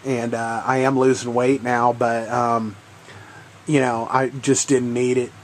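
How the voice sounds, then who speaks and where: A man's voice: mid-range voice, little pitch movement, unhurried, slight background noise. A man with a head cold, sounding congested and coughing now and then, rambling casually through a vlog with many ums and uhs. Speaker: channel host. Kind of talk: casual video game haul vlog.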